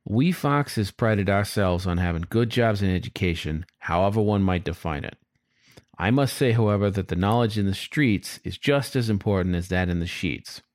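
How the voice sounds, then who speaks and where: A man's voice: fairly low pitch, normal speed, darkish tone, no room sound, studio quality, lightly acted. A man voicing a cheeky, lustful young male fox who tells his own story in a chatty, wry, confiding way, as in an audiobook. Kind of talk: first-person audiobook storytelling.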